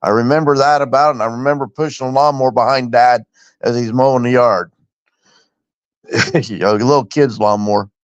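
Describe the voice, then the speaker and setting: A man's voice: medium pitch, fairly even intonation, brisk, clean tone, dry recording. Middle-aged man from Indiana, former truck driver; plain storytelling voice heard over dry, muffled lo-fi audio. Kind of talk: casual chat. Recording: dull, dry, lo-fi stream audio.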